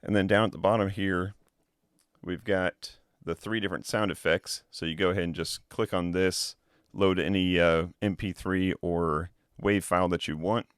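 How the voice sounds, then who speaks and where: A man with a deep, low-set voice walks through a gadget review in an easygoing way, explaining as he goes and thinking out loud. The sound is dry and close, with no room around it. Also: normal speed, clean tone, no echo. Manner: relaxed, explanatory, thinking aloud. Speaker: tech reviewer. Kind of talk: casual product review demo.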